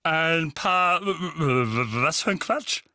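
A man voicing a bad-tempered middle-aged uncle who barks and splutters loudly. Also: a little high, big pitch swings, very slow, breathy edge, dry recording, studio quality, voice pushed up, full character voice. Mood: blustering. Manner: loud, barking, spluttering.

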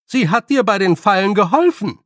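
Man voicing a high, bossy old village witch. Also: big pitch swings, unhurried, no room sound, clean studio sound, full character voice. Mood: stern scolding. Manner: loud, stern, teasing, colloquial scolding. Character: brisk, bossy, good-humoured old woman village witch. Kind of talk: audiobook character voice.

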